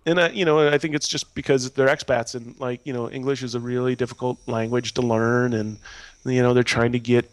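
A man's voice: mid-range voice, fairly even intonation, fairly quick, dry recording. An American man from Minnesota talking casually as an interview guest, rambling and often saying "you know". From Minnesota, United States. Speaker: podcast guest.

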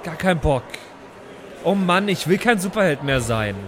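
A man acts out a sulky young would-be superhero who grumbles in slang. His voice is fairly high and swings animatedly in pitch, whiny and put-upon. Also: expressive, normal speed, slight background noise, lightly acted. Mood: fed up.

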